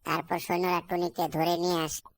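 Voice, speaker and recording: A woman playing a young female cartoon friend with a slightly low voice. She chats colloquially, teasing but caring, and slips easily into folk storytelling. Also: flat delivery, a little fast, vocal fry.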